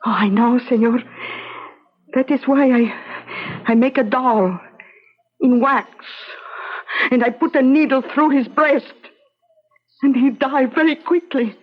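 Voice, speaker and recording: An actress playing a wronged, grieving young wife in a radio drama, with a Spanish accent and halting, broken English. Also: female voice, high voice. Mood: quiet resignation. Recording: dull, lo-fi radio drama recording.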